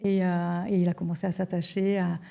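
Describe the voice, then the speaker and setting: A middle-aged woman from Brazil, a native Portuguese speaker, giving a personal testimony as a podcast guest in a halting way with pauses. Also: on the low side, level tone, creaky, mellow.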